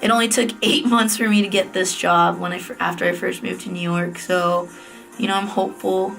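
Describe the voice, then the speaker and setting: A woman's voice: fairly low pitch, flat delivery, fairly quick, gravelly, fairly bright tone, soft background music, rough recording. A woman in her late twenties from the United States, giving a casual, candid vlog update in a chatty way, with fillers and self-corrections. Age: late 20s. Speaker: vlogger. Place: office private room at night.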